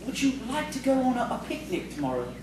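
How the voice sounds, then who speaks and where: A man's voice: very high voice, very animated, fast talker. A man in an audio theatre play acting a nervous, lovesick schoolteacher who stammers and hesitates with fussy politeness. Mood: shy, hopeful. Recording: echoey room, distant mic, clear noise.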